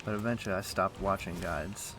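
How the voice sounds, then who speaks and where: Low-voiced man chatting over loud game music. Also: steady tone, a little fast. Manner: rambling, thinking aloud. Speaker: game streamer. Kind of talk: casual livestream gameplay chat. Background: video game music.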